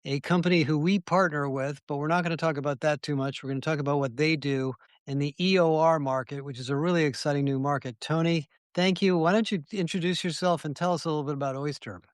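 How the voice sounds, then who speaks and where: A man hosts a podcast in a fairly high, smooth and resonant voice, asking his guest questions in an upbeat, conversational way. The recording is dry and close. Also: steady tone, fast talker, few pauses, no echo. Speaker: podcast host. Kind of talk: podcast interview hosting.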